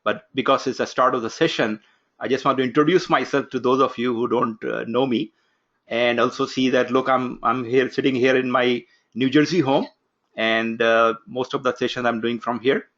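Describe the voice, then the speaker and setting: A man opening an online course from his home office, giving instructions briskly and calling on participants one by one. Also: mid-range voice, steady tone, fairly bright tone, dull audio. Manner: brisk, instructive, takes roll call. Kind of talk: live online class introduction. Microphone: video call.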